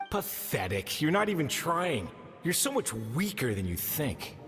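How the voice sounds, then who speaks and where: A man's voice: on the high side, very animated, slow, a bit bright, heavily acted. A man playing a harsh, scornful figure who taunts in a video game. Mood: contemptuous. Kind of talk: video game character acting. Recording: reverberant voice with faint music.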